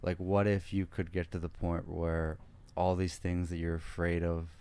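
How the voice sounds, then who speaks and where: A man's voice: low-pitched, little pitch movement, moderate pace, dark tone, clean tone. A man hosting a podcast, talking in a casual, hesitant way with many fillers. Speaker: podcast host.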